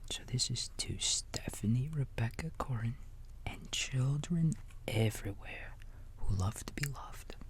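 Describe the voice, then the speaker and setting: A man's voice: medium pitch, unhurried. A man whispering an ASMR bedtime story as a babysitter, soothing and casual, with rambling asides to a child listener. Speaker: ASMR roleplayer playing a babysitter.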